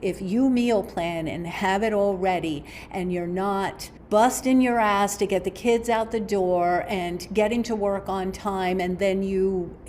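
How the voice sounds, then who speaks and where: A woman's voice: mid-range voice, unhurried, hardly any pauses, darkish tone, slight background noise. A woman guest on a podcast giving nutrition advice in a firm, matter-of-fact way.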